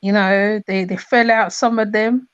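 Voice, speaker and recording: A woman's voice: medium pitch, fairly even intonation, a little slow, smooth, dry recording. A woman from Trinidad, chatting casually and rambling with many restarts and fillers. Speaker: host. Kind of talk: casual chat. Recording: dull lo-fi sound.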